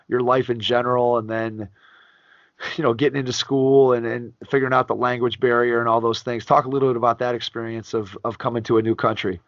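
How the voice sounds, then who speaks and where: A man hosting a basketball coaching podcast, reading a guest's biography in a measured announcer style and then asking interview questions. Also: mid-range voice, no echo, highs cut off. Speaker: podcast host.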